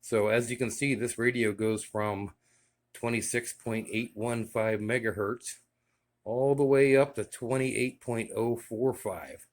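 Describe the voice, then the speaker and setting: A man casually showing off his radio gear in a relaxed, enthusiastic way, with many fillers. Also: medium pitch, a little slow. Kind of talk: casual show-and-tell vlog.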